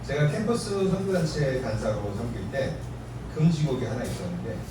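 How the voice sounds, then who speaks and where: Late-fifties male pastor, easygoing sermon, mid-pitched, distant and reverberant, noisy room. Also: a little fast. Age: 50s. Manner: relaxed, chatty, joking about his own age. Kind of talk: sermon at an alumni reunion. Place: church hall. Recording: echoey hall from far off, noisy.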